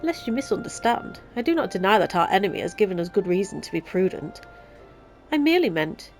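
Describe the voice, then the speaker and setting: A woman's voice: a little high, a little mellow. A woman with a Yorkshire accent and a nasal, blocked-nose voice, reading the lines of a devout male knight commander from a fantasy game.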